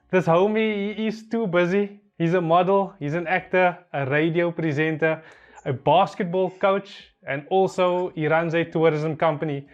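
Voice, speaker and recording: A man giving a casual podcast introduction as the host and interviewer. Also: high voice. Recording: dull lo-fi sound with music behind.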